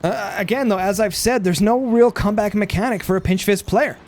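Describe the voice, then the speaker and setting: A man giving rambling play-by-play commentary on a strategy game, hoarse and losing his voice, full of fillers and restarts. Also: high-pitched, fairly quick, a little mellow.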